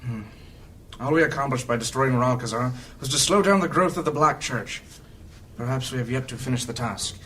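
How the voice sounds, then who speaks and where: Level-headed adventurer, male actor, earnest and deliberate, mid-range voice, fantasy audio drama. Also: mid-range voice, fairly bright tone, far from the mic, natural voice. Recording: faint background noise.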